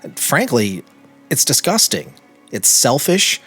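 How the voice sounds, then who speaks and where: An American man speaks as a podcast host in a medium-pitched voice. He phrases things deliberately and earnestly, leaning on key words for emphasis. Music plays softly behind him. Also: big pitch swings, breathy edge. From United States. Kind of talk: podcast introduction and personal commentary. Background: background music.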